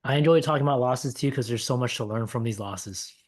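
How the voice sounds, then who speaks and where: A man hosting a podcast who asks his guest plain questions and fills the pauses with short replies. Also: medium pitch. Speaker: podcast host. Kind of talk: casual podcast interview.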